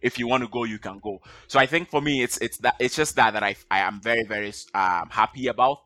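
A man's voice: mid-range voice, quick pace, bright, forceful tone, no room sound. A man from Ghana with a Ghanaian accent, speaking as a guest in a casual interview and often repeating words as he makes his points. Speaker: podcast guest, data analyst.

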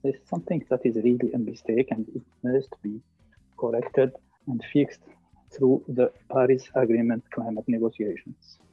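Husky Algerian man on a phone-like line. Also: mid-range voice, little pitch movement, moderate pace, no echo. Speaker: climate policy consultant, interview guest. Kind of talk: explanatory interview answers. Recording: thin phone-like call audio, faint music.

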